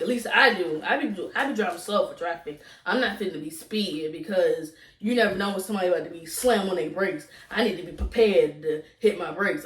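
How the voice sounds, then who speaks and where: A woman's voice: mid-range voice, a little hiss or hum. A young adult woman with a Southern US accent telling a casual, repetitive story to viewers as a vlogger. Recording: roomy echo, distant from mic.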